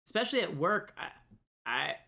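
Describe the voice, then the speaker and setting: A man's voice: fairly high pitch, very animated, moderate pace, creaky, sharp tone. A man co-hosting a podcast, chatting casually and reading out listener questions. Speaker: podcast co-host.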